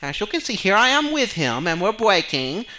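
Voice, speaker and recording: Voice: medium pitch, normal speed, slight background noise. A performer playing an exasperated male motorcycle instructor who speaks broken English, in a comedy sketch interview. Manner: broken English with dropped articles, excitable.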